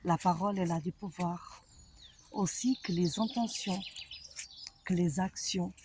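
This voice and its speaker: A woman with a foreign accent, a holistic therapist talking to her followers in a slow, halting way with many pauses and fillers. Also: on the low side, noisy background. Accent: foreign accent in French. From abroad, not France. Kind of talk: personal monologue to followers.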